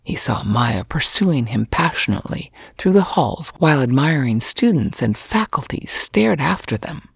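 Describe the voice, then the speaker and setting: A woman narrating fiction as an audiobook, with a breathy, husky voice and a sultry, scripted delivery. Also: rumbling low, sing-song, moderate pace, few pauses, no room sound, clean studio sound.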